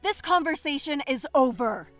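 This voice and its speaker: A woman's voice: high-pitched, animated, normal speed, a bit bright, dry recording, faint music behind. A woman playing a stern mermaid queen and mother in an audio drama, commanding and reproachful.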